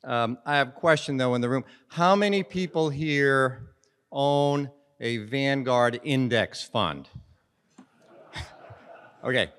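A man's voice: mid-range voice, slow, long pauses, fairly bright tone, smooth. Middle-aged male host, jovial, handheld mic, long hall reverb. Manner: jovial, joking with the audience. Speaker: interviewer, host. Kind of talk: onstage interview and introduction. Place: large conference hall with audience.

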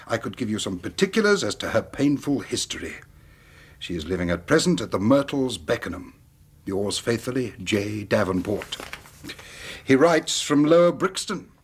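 An actor playing a composed, cultured English gentleman in a radio drama, speaking in a measured, precise way. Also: medium pitch, husky.

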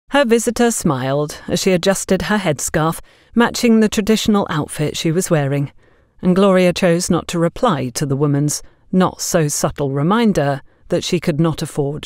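A woman narrating a scripted audio story in a measured, expressive storytelling manner. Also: low voice, no echo, studio quality.

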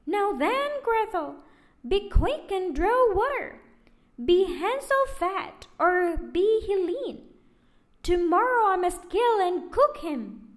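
A woman voicing a wicked, spiteful old witch in a storybook reading, with a shrill, loud and bossy way of talking. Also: sing-song, slow pace, full character voice. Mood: scolding.

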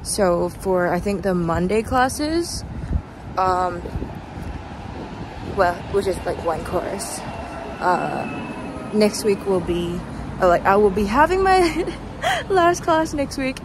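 A young woman vlogs on a noisy city street, sounding tired. She talks at a slow, halting pace, rambling casually and poking fun at herself. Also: mid-range voice, animated. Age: young adult. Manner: halting, rambling, self-deprecating. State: tired, sleep deprived. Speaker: vlogger, student performer. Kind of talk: casual walking vlog, rambling chat. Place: outdoors on a city street at night, walking. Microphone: phone at arm's length. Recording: noisy outdoor street, traffic ambience.

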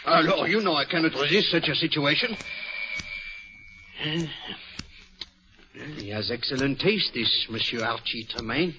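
An actor playing a fussy, shrewd Belgian detective with a French accent, speaking precisely and dropping French words, in a 1940s radio drama. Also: male voice, fairly high pitch, measured pace, pauses often, bright, forceful tone, a little hiss or hum. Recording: muffled old radio broadcast.

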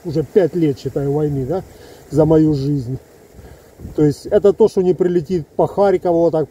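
A man's voice: fairly high pitch, dark tone, poor audio. A man in his fifties from Ukraine, talking heatedly to his phone camera outdoors among trees, in an emphatic, rambling monologue full of asides. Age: 50s. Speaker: soldier vlogger. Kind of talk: casual video monologue to camera. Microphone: phone held close, selfie framing.

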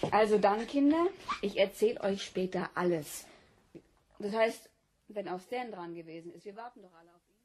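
A woman's voice: medium pitch, normal speed, a little mellow, a little hiss or hum, lightly acted. An actress in a German radio play playing a chatty young female test volunteer among friends.

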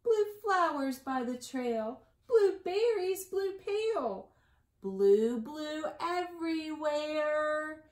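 A high-voiced woman in her forties reads a picture book aloud for little kids in an echoey room. She goes very slowly, with sing-song delivery and stretched, emphasized key words. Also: lively intonation. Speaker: library storytime reader. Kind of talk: picture-book read-aloud for young children. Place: small indoor room at home. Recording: echoey room, slightly distant mic.

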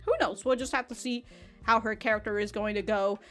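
A woman's voice: medium pitch, steady tone, fairly quick, fairly bright tone, faint music behind. Rambling young woman reviewing anime. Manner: rambling, laughs often, swears, repeats words. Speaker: anime reaction host. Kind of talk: casual review chat. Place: small room with anime backdrop. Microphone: large foam-covered studio mic in front.

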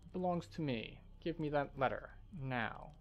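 A man's voice: fairly high pitch, animated, normal speed, lightly acted. A man reading as stern, suspicious drow leader. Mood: suspicious, demanding. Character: suspicious, commanding drow elf leader in fantasy game.

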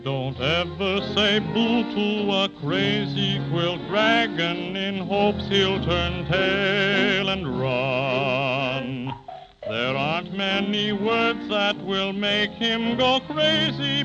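A woman's voice: mid-range voice, very slow. A performer with a woman's voice playing a playful dragon in a 1930s children's radio patter song. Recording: muffled old radio recording with music.

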